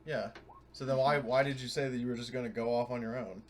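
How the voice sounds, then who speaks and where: A man's voice: medium pitch, fairly even intonation, quick pace, over a noisy crowd. A man playing a tabletop game, casually but insistently talking through details with the other players.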